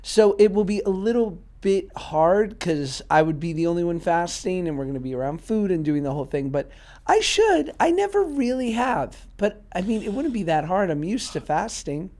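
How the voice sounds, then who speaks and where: A man's voice: sits high, big pitch swings, a little mellow. Joking man co-hosting a podcast. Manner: joking, halting with restarts in chat. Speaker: podcast co-host. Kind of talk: casual chat and reading out stories.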